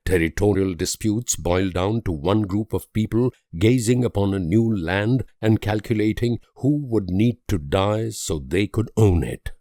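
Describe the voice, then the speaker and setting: Solemn statesman, game character, deep-toned, eloquent, world-weary, deliberate pauses, dry close sound. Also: mellow, no room sound. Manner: measured, solemn oratory with dramatic pauses. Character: grave idealistic male political leader, eloquent and world-weary. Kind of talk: scripted dramatic quotations from a strategy game.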